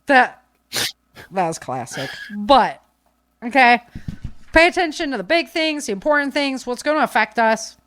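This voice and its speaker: A woman hosting a podcast, speaking informally into a close microphone with animated emphasis. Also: on the high side, normal speed, long pauses. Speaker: podcast host. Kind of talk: casual podcast talk.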